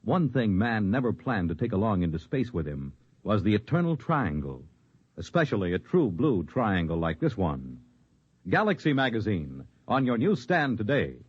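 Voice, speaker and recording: A man's voice: lowish voice, sing-song, moderate pace, husky, dry recording. A man working as a radio announcer, reading scripted credits and promotion in a formal 1950s network style. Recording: dull lo-fi vintage radio recording.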